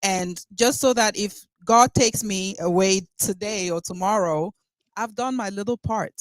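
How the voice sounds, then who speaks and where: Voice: medium pitch, measured pace, dry recording, low-quality recording. Chatty podcast host, dry close sound. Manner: warm-hearted, rambling, with frequent restarts and laughs. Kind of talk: casual conversational interview.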